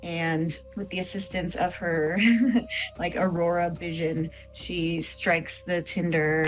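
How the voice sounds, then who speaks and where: A woman's voice: low voice, rough recording. A woman playing a tabletop game, chatty and rambling as she describes her character's actions. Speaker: player. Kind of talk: casual tabletop play.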